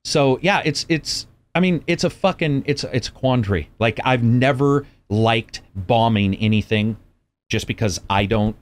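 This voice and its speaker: A man's voice: medium pitch, a little slow. A man in a casual discussion, agreeable and chatty, often laughing and agreeing with others. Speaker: podcast guest.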